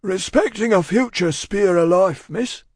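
A man's voice: sits high, measured pace, darkish tone, slightly rough, dry recording, studio quality, voice pushed up, full character voice. A man voicing a solemn, repentant working-class London man in a storybook, with a Cockney accent and a ponderous, preachy, emphatic way of talking. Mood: solemn, earnest. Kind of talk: audiobook character acting.

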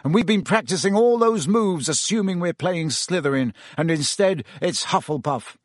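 A man's voice: high-pitched, animated, moderate pace, no room sound, studio quality, voice pushed up. A man voicing an intense, anxious teenage boy team captain who talks urgently and insistently.

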